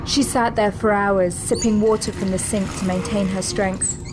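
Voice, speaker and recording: A woman narrates an audio drama in a scripted, dramatic style. Her pitch is medium. Music is clearly heard behind her voice. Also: steady tone, normal speed, low-quality recording.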